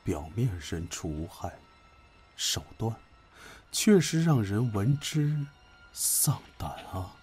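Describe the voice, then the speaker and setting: A man acting a sly, sardonic imperial court official in a Chinese period audio drama, measured and insinuatingly polite. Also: lowish voice, very animated, a little mellow, breathy edge, soft background music, heavily acted. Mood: sly politeness.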